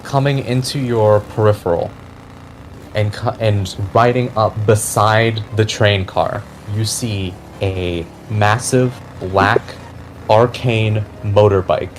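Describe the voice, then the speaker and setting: A man narrating a fantasy tabletop game as game master, describing scenes deliberately and with suspense. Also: on the low side, fairly even intonation, background noise. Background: background music.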